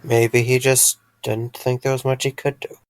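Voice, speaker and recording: A man's voice: medium pitch, little pitch movement, normal speed, no room sound, close to an everyday voice. A man playing a caring, dryly wry friend in an audio drama, earnest and a little hesitant. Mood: concerned.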